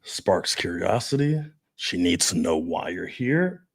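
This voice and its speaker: A man's voice: sits low, a little slow, gravelly, breathy edge. Brash, swearing male dating coach. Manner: brash, profane, animated storytelling. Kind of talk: casual podcast chat.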